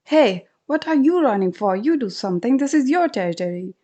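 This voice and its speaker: A woman voicing a jumpy, bossy washerman who yells when scared. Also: on the high side, expressive, brisk, highs cut off, pitched above the performer's own voice. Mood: panicked.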